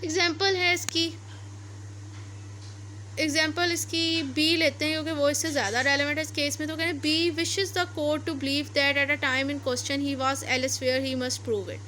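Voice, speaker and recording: A woman's voice: high voice, little pitch movement, unhurried, pushed and bright, a little hiss or hum, rough recording. A woman teaching law, reading passages aloud and then explaining them step by step, repeating and rephrasing her points. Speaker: law lecturer.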